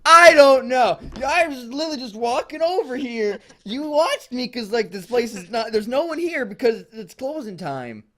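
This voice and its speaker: A man puts on a flustered, grieving mom in a fan story reading. The voice is pitched up high and swings around, melodramatic and protesting. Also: very animated, normal speed, hardly any pauses, sharp tone, heavily acted. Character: grieving, flustered mother of a lost son.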